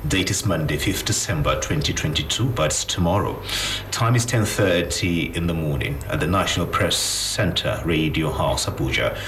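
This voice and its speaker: Nigerian guy reading a formal notice, really low voice, echoey room. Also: a bit bright. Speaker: announcer. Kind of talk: formal scripted public announcement. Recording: echoey room, faint background noise.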